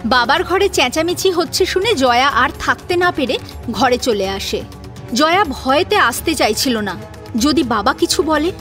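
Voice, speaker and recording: A woman's voice: high-pitched, lively intonation, a little slow, fairly bright tone. A woman narrating a scripted audio drama as its storyteller. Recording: music under the voice.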